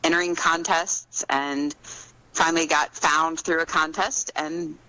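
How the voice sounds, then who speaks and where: A woman's voice: sits low, fairly even intonation, a little slow, bright, forceful tone, no echo, low-quality recording. A woman author speaking as a guest in a casual interview chat.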